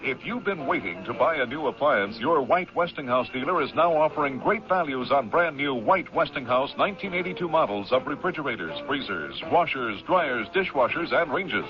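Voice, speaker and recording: A male announcer delivers a polished, scripted ad in a mid-pitched voice. Faint music plays behind him on a muffled old radio recording. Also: lively intonation, moderate pace, hardly any pauses. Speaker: commercial announcer.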